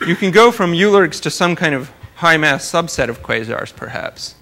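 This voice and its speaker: A man's voice: on the high side, expressive, moderate pace, bright, forceful tone. A man giving an informal research lecture, explaining technical material with careful hedges and wry, dry asides. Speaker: astronomer giving a research talk.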